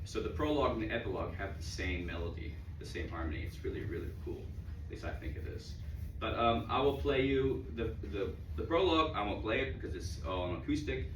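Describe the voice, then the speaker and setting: An American man informally introducing his own music, halting and repeating words as he explains it. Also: fairly low pitch, expressive, noisy background. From United States. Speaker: musician presenting own composition. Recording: muffled, roomy, distant mic, loud music.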